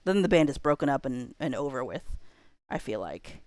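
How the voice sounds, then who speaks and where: A young woman chatting casually on a livestream, thinking out loud and rambling as she weighs her options. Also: rumbling low, very animated, moderate pace, dry recording.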